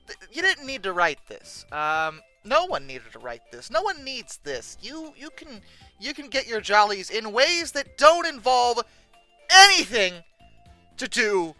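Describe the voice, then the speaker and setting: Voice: medium pitch, big pitch swings, takes it slowly, bright, forceful tone, no echo, soft background music. A video host giving casual, humorous commentary in a sarcastic, exasperated manner with dramatic emphasis.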